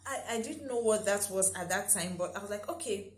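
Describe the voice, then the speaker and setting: Brief: young Nigerian-accented woman, chatty vlogger, mid-pitched, roomy echo with faint hiss. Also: a little fast. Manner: chatty, laughs often, frequent "um" and "right?". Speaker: YouTuber. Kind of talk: casual vlog talk.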